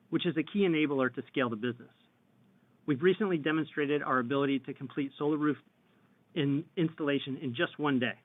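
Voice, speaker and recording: Man, corporate executive, scripted earnings call update, mid-pitched, tinny phone-band audio. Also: dry recording. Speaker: company executive giving business update. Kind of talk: prepared earnings call remarks. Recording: thin phone-line conference audio.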